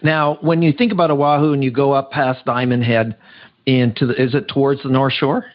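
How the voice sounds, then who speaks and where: A man's voice: mid-range voice, steady tone, normal speed, lo-fi sound. A man hosting a podcast interview, chatty and playfully teasing his guest. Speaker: podcast host.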